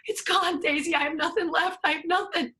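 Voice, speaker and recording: Woman playing a high-voiced, tormented stage manager. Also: fairly even intonation, fairly quick, pushed and bright. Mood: anguished, haunted. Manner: overwrought, repeating words for drama. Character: tormented, melodramatic stage manager with dark blackouts. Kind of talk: improvised radio soap melodrama.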